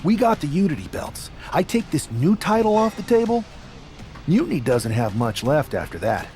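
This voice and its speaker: An actor playing a cocky, stubborn young pro wrestler, confident and wry, in a video game cutscene. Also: male voice, a little high, sing-song, normal speed, a little mellow, faint music behind. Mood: cocky, determined.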